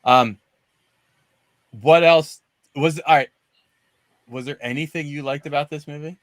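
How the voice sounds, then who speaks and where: A man's voice: fairly high pitch, steady tone, measured pace, no echo. A man hosting a casual panel discussion about films, talking in a rambling way and often changing tack mid-sentence. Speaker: host of a movie discussion show.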